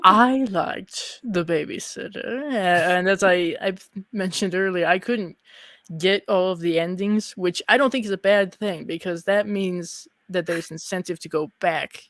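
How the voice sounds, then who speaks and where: Female interviewer for casual chat, fairly low voice, very dry and close recording. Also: measured pace, fairly bright tone, no room sound.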